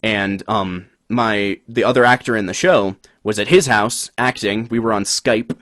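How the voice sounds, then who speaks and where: Podcast co-host, man, low-pitched, chatty and rambling, dry sound. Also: unhurried, no echo. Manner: relaxed, rambling, many fillers. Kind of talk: casual chat.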